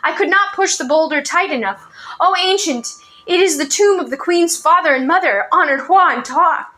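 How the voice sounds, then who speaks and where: A woman voicing a brave, frantic boy who rushes out his story urgently in a storybook reading. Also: high voice, huge pitch swings, vocal fry, sharp tone, exaggerated voice.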